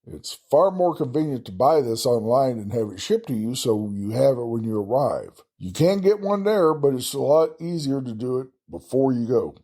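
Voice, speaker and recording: A man's voice: medium pitch, lively intonation, moderate pace, few pauses, dark tone. A man with a Texan accent hosting a travel podcast, talking casually and folksily with chatty self-deprecating asides. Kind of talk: casual solo travel talk.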